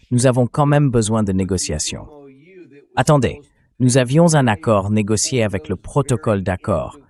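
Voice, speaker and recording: A man reading a translated voice-over as the host of an interview show, in a measured, scripted-sounding way. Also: lowish voice, a little mellow.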